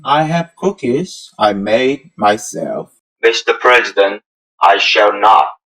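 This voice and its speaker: A man's voice: medium pitch, sharp tone, low-quality recording. A man acting out a scripted amateur audio drama in formal, stilted English with non-native phrasing. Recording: music under the voice. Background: instrumental music.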